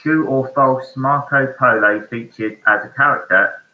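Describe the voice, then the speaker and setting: A man hosting an online pub quiz, reading each question slowly and repeating it. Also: medium pitch. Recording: echoey room, dull lo-fi sound.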